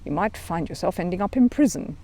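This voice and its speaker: A woman's voice: fairly low pitch, lively intonation, a little fast, warm tone, close to an everyday voice. Woman as a bemused, officious young policeman. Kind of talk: children's storybook character reading.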